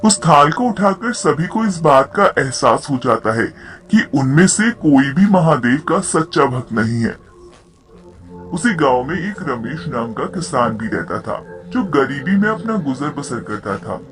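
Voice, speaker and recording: Man, storybook narrator, scripted delivery, medium-pitched voice. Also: big pitch swings, measured pace, faint music behind.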